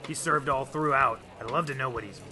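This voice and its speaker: An actor playing an easygoing, informal police detective in an old time radio drama. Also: male voice, mid-range voice, a little fast, background noise, lightly acted. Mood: reminiscing. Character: folksy police detective, army veteran friend.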